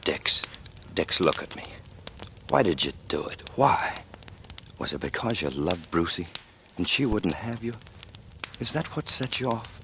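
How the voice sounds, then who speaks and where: A man's voice: deep voice, expressive, measured pace, long pauses, pushed and bright, exaggerated voice. An American actor in a 1940s radio drama playing an earnest, pained policeman confronting an old friend. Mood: pleading, anguished. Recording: noisy vintage 1940s radio recording.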